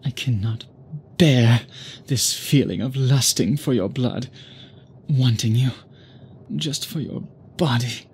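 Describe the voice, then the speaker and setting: Slow, brooding vampire suitor, acted by a man. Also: mid-range voice, a little mellow, a little hiss or hum, natural voice. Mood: yearning, possessive. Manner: formal, brooding, halting phrases, slipping into breathy whispers. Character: obsessed, guilt-ridden immortal male vampire suitor. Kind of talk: intimate ASMR roleplay, dramatic monologue.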